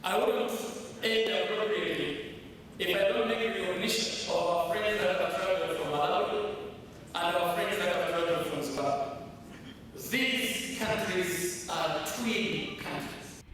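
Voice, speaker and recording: A man's voice: a little slow, fairly bright tone. A man delivering a public speech to a gathering. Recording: echoey hall, distant, faint rumble.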